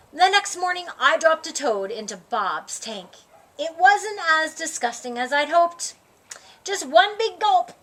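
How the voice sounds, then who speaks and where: A woman storyteller with a high, bright voice reads a children's book aloud. She takes her time and gives phrases expressive, dramatic emphasis, narrating as the story's young character. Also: slight background noise.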